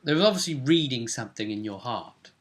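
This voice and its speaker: A man's voice: medium pitch, lively intonation, fairly quick. A man chatting casually as a game master, retelling a role-playing session like a story.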